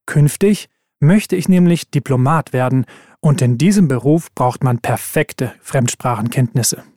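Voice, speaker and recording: A man reading scripted exam texts aloud in an even, careful way. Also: mid-range voice, measured pace, dry recording, studio quality. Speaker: exam recording reader.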